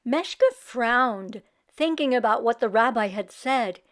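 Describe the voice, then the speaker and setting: A woman's voice: on the high side, animated, a little slow, a bit bright. A woman reading a children's story aloud as host, expressively, and addressing young listeners directly.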